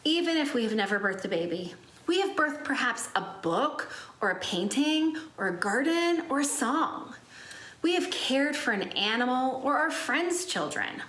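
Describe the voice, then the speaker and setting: A woman's voice: a little high, fairly bright tone, roomy sound. A woman giving a prepared, inspirational reflection as a speaker at a church service.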